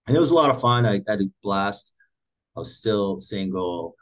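Deep-voiced man from Morocco. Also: normal speed. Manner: relaxed, many "uh" and "um" fillers, frequent restarts. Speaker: guest entrepreneur. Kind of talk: casual storytelling interview.

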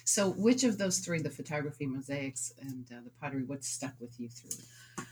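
A woman's voice: extremely low pitch, lively intonation, normal speed, darkish tone, a little hiss or hum. A woman hosting an art podcast, chatting casually with a guest and laughing along often. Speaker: podcast host. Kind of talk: casual interview chat.

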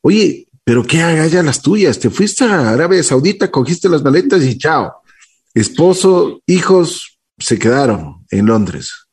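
A man's voice: fairly high pitch, very animated, unhurried, darkish tone, slightly rough. A man hosting an interview, interrupting with short reactions and follow-up questions. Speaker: interviewer host. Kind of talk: conversational interview.

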